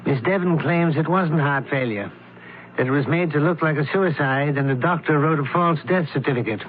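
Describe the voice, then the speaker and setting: An actor playing a brisk, shrewd doctor turned investigator in an old-time radio mystery, questioning with clipped authority. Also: male voice, on the high side, natural voice. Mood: brisk, commanding. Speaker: investigator character. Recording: narrow, tinny old radio recording, hiss.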